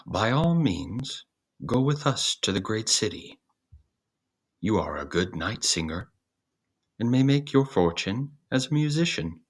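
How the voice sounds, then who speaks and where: Casting: man as an encouraging fairy-tale donkey, courteous and declamatory, husky voice, measured pace. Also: on the low side, big pitch swings, voice pushed up, full character voice. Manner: declamatory, old-fashioned courteous phrasing. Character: hearty, encouraging donkey, male, storybook animal leader.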